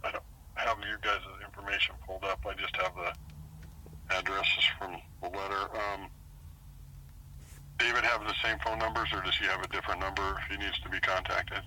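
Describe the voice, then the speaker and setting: Low, forceful man on a noisy phone line. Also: level tone. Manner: halting, repeats and checks details. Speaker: police sergeant handling a complaint. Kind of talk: procedural phone conversation. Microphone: recorded phone call.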